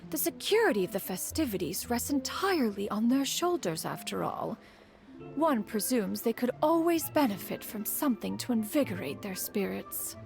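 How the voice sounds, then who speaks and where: A woman's voice: fairly high pitch, very animated, normal speed, gravelly, a little mellow, soft background music. A woman playing a proud, ancient female immortal in a video game, speaking in a lofty, archaic, formal manner. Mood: dignified, haughty. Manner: haughty, archaic, formal, calls herself "one".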